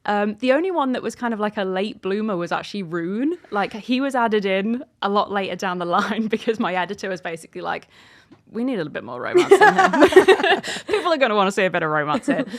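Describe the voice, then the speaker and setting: A woman's voice: mid-range voice, very animated, a little fast. A woman in her thirties, an author chatting casually and animatedly in an interview, in an informal way. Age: 30s.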